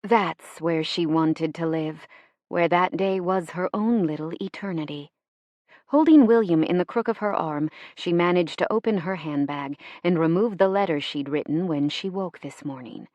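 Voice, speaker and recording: A woman reading fiction as an audiobook narrator in an expressive, measured, dramatic storytelling style. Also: on the low side, dry recording.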